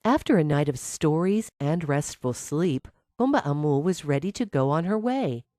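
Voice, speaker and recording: Female storyteller for children's fairy tales: low register, very animated intonation, dry close sound. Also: normal speed, no echo. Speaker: storyteller, narrator. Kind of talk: scripted children's fairy tale narration.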